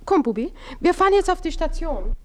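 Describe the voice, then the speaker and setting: An actress playing a poised young married daughter in a classic radio play, speaking earnestly and with genteel manners. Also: female voice, extremely high pitch, very animated, fairly quick, a little mellow. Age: young adult.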